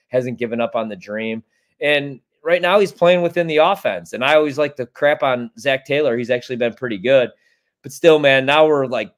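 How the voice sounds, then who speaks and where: An American man in his thirties talks sports bets from home on a video call. His voice sits at an average pitch. He rambles casually and bluntly, with loose, salty language. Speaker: guest sports betting analyst. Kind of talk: casual sports podcast chat.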